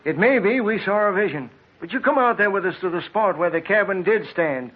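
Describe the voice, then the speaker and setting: A husky, urgent traveler. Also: male voice, high-pitched, lively intonation, a little fast. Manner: urgent and pleading. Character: frightened male traveler, earnest and urgent. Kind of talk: 1940s radio acting. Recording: tinny old radio broadcast.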